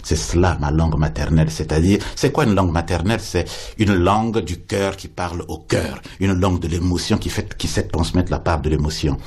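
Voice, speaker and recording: A man with a very low, husky voice talks like a poet, slow and lyrical. He often repeats a word while he looks for the next, and reflects at length as a guest in a radio interview. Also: darkish tone.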